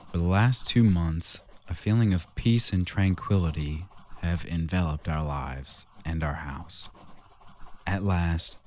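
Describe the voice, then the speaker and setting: A man plays a haunted, weary husband in a radio drama. His voice is low and grave, with deliberate phrasing, as if setting events down in a journal. There is some noise on the recording. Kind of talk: radio drama acting and diary narration.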